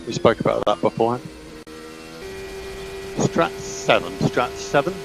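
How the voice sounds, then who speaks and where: A man's voice: medium pitch, rough recording. A man working as a race engineer, giving clipped instructions over team radio to a driver and repeating key calls. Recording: team radio with music behind.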